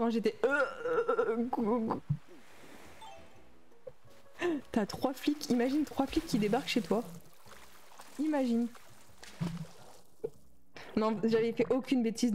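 A woman's voice: fairly high pitch, darkish tone. A young adult woman streaming a game, chatting casually and reading and answering her viewers' messages aloud. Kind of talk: casual livestream chat while playing a game.

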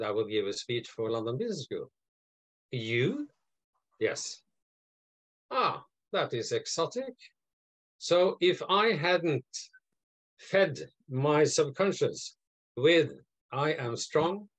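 A man's voice: mid-range voice, dry recording. An older man from Norway telling a story on a video call, hesitating with fillers and restarts. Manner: hesitant, many fillers and restarts, lively retelling. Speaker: guest, advisor and mentor. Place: home room, indoors.

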